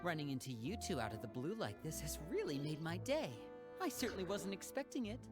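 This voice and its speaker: A man's voice: on the high side, very animated, fairly quick, over loud music. An actor voicing a polite, charming young male stage magician in a video game. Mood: pleased. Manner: courteous, gracious. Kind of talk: game voice acting.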